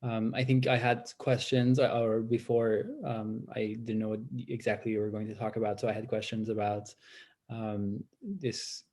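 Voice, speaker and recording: A man from Iran, a native Persian speaker, interviewing in an academic conversation with halting, tentative phrasing as he searches for words. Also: fairly low pitch, level tone, a little mellow.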